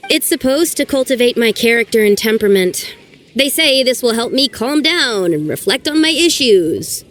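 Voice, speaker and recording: A woman playing an earnest, ambitious young woman in a video game, with an expressive delivery. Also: fairly high pitch, normal speed, natural voice. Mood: rueful.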